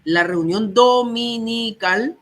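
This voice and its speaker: A man, a guest speaker, who is slightly hoarse from a cold and reads quotations aloud before explaining them. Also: very high voice, slow pace, fairly bright tone. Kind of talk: teaching talk on early church texts.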